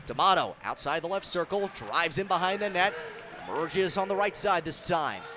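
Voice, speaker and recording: High-pitched man calling sports play-by-play. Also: moderate pace, background noise. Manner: clipped running description, bursts into excited calls. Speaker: radio play-by-play announcer.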